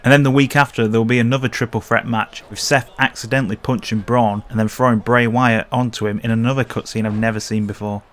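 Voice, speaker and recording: A man's voice: mid-range voice, steady tone, fast talker, few pauses, noisy background. A man narrating a scripted video game review as commentary.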